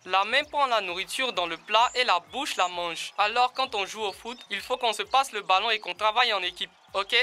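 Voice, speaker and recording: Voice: medium pitch, moderate pace, few pauses, pushed and bright, faint music behind, lightly acted. An actor voicing an earnest, encouraging young animal team leader in an educational children's cartoon, explaining things in a didactic way.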